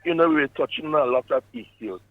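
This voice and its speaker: A man's voice: a little high, brisk. A man with a Grenadian accent calling in by phone to make an announcement to listeners. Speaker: caller. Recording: thin narrowband phone line.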